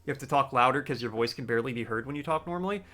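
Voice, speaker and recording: A man live-streaming a game, chatting casually with his viewers in a deliberately raised, customer-service-style register. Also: mid-range voice, bright, forceful tone, faint music behind. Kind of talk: casual live-stream chat while gaming.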